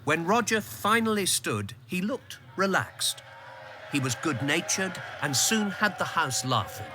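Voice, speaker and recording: An actor playing an observant, sober political insider, narrating a radio drama in a measured, literary manner. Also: male voice, sits high, lively intonation, noisy background.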